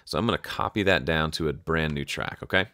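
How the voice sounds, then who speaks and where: A man's voice: a bass voice, brisk, sharp tone, clear, resonant tone, dry recording, clean studio sound. A man hosting an audio production tutorial in casual, conversational talk, thinking aloud as he goes.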